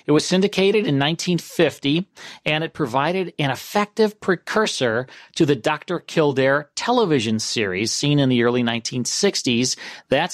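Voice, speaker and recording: A man's voice: mid-range voice, no room sound, clean studio sound. A man hosting a radio show, giving a scripted introduction.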